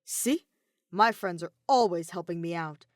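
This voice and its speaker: A woman voicing a defiant, hot-headed teenage boy in an audiobook, growling and impatient in a forceful way. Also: fairly low pitch, unhurried, no room sound, studio quality, lightly acted. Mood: triumphant defiance. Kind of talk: character voice in fan fiction audiobook.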